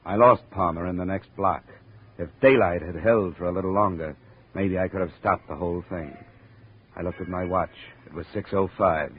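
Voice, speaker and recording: An actor playing a tough, wry insurance investigator in 1950s radio drama, with an American accent and a terse, dry delivery. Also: male voice, deep voice, moderate pace, husky. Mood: grim narration. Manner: terse, hard-boiled, dry. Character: tough wry insurance investigator, hard-boiled male detective. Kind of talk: 1950s radio drama acting with first-person narration. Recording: muffled narrow-band old radio recording, crowd noise.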